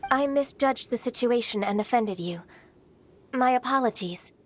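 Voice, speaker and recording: A woman voicing an earnest, polite but firm secretary in a video game, with measured, formal phrasing. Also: on the high side, a little hiss or hum, lightly acted. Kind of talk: scripted video game voice acting.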